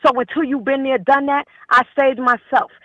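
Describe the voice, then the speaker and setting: A woman's voice: sits high, steady tone, moderate pace, a bit bright, no echo, lo-fi sound. A woman caller with a New Jersey accent, blunt and emphatic, repeating her points for effect. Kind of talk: casual call-in chat.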